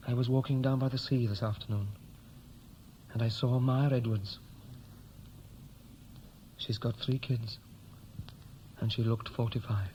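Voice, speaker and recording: An actor playing a defiant, independent adult son, wounded, in a 1960s radio play. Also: male voice, mid-range voice, fairly even intonation, a little slow, long pauses, warm tone, lightly acted, low-quality recording. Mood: wistful, bitter. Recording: hissy 1960s radio recording.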